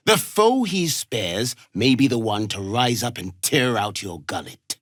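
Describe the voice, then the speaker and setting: An actor playing a harsh, imperious alien warrior instructor in a video game, scornful and given to clipped commands. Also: male voice, medium pitch, big pitch swings, unhurried, dry recording, studio quality. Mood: cold, menacing. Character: harsh, contemptuous alien warrior instructor drilling young recruits. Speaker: combat trainer. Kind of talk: video game character acting.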